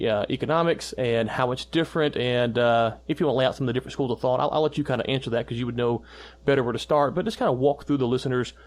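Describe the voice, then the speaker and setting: A male podcast host talks quickly and casually, setting up questions and plugging episodes for listeners. He has a mid-pitched voice. His delivery is relaxed and chatty. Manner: fast, loose, with fillers and restarts.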